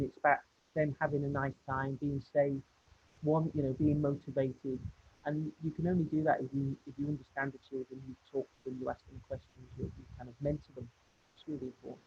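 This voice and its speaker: A man hosting a live interview, affirming his guest in hesitant, repeated phrases. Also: medium pitch. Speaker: show host. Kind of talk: live video call interview. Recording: dull line with hiss.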